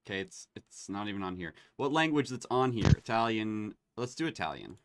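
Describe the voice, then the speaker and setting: Man, host, casual demo chat, excitable, lowish voice, dry sound. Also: brisk, smooth, no room sound. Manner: excitable, laughs and exclaims while testing tools.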